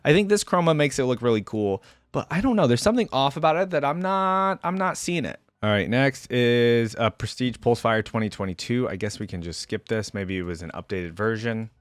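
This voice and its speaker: A man's voice: mid-range voice, lively intonation, fairly quick, few pauses, no room sound, studio quality. A man giving casual, informal reaction commentary on game character skins, thinking aloud as he goes. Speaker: reaction video host.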